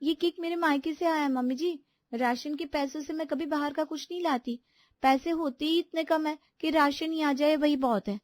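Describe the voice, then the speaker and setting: A woman's voice: high voice, normal speed, no room sound, natural voice. A woman voicing an outspoken younger daughter-in-law in a family story, complaining and pleading her case. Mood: aggrieved. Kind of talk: animated Hindi family story dialogue.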